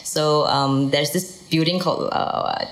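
A woman, an entrepreneur guest telling her story in a brisk, matter-of-fact way. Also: low-pitched, fairly even intonation. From Singapore. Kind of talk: casual interview answers. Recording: roomy echo.

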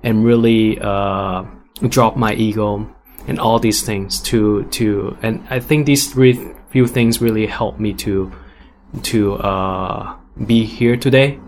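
A man's voice: fairly low pitch, takes it slowly, slight background noise. A man from Hong Kong with an American-sounding accent, speaking animatedly as a guest on a panel, restarting and repeating himself often. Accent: American-sounding English. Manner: animated, with frequent restarts and repetitions. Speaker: guest entrepreneur. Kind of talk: casual panel discussion.

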